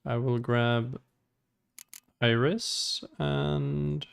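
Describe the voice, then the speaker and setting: Creaky-voiced man streaming a game. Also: medium pitch, steady tone, drawn-out words. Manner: chatty, thinking aloud. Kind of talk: casual live gameplay commentary.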